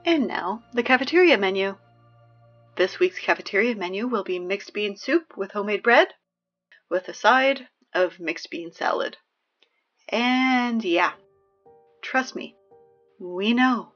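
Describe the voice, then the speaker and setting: A young woman playing a wry, peppy teenage girl who reads high school announcements in a chatty, sardonic, emphatic way for a scripted audio drama. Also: expressive, measured pace, long pauses, a bit bright, lo-fi sound, soft background music. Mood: pleading.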